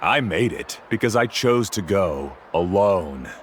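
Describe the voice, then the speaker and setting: An actor playing a proud, aloof cave guide who talks down to clients in a video game. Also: male voice, low voice, lively intonation, a little slow, slight background noise. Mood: scornful. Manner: condescending, dismissive. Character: arrogant, aloof star cave guide, adult man.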